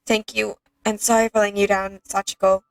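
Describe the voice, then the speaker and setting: A woman's voice: mid-range voice, little pitch movement, a little fast, creaky, dry recording, poor audio. A young woman streaming a game, chatting casually and reading in-game text aloud.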